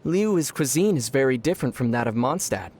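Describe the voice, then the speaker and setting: A man playing a calm young traveler hero in an anime video game, gently teasing in a measured way. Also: medium pitch, natural voice. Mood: calm, dry.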